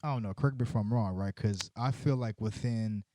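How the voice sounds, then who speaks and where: A man's voice: on the low side, a little fast, dark tone, a little hiss or hum. A man hosting a podcast, asking long, loose questions in a casual chat, often checking in with "right?" and "man". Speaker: podcast host.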